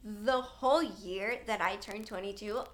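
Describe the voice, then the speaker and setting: A woman in her twenties co-hosting a casual chat, playful and teasing with quick asides. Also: medium pitch. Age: 20s. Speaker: podcast co-host.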